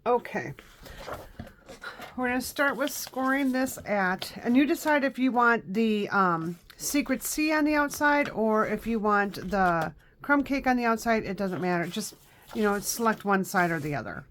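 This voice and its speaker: A woman's voice: on the high side, expressive, measured pace, fairly bright tone, a little hiss or hum. A woman in her fifties, a little sniffly from allergies, chatting through a live craft tutorial with lots of ums and uhs. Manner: chatty, many ums and uhs, step-by-step instructions. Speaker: craft instructor. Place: home craft room, indoors.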